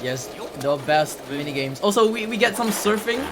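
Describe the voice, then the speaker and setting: A man's voice: high-pitched, expressive, moderate pace, noisy background. A man playing a game live and commenting casually, in a playful, exclamatory way. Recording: game music behind. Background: video game music.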